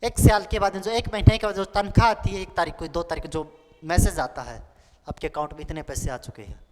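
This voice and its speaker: A man's voice: fairly high pitch, a little fast. A man of twenty-one teaching an exam-prep lesson in a playful, colloquial way, repeating key points as a drill. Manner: repetitive, playful, colloquial, acts out pupils' questions. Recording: noisy, echoing hall-like room with clicks.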